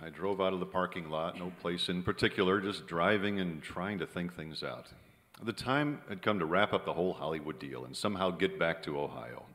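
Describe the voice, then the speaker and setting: Cynical down-on-his-luck writer, lowish voice, wry, hardboiled radio play style, long theater reverb. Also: brisk, fairly bright tone. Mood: wry, weary. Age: middle-aged. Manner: wry, colloquial. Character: wry, broke Hollywood screenwriter, cynical man. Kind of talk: old-time radio drama acting, hardboiled narration. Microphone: stand microphone on stage. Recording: reverberant theater stage recording.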